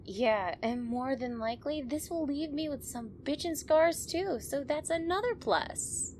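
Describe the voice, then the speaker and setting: Actress as injured tough woman, wry and stubborn, slowish higher voice, often breathy, audio drama, noticeable ambience. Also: animated. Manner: sardonic, often hushed and breathy. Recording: clear background ambience noise.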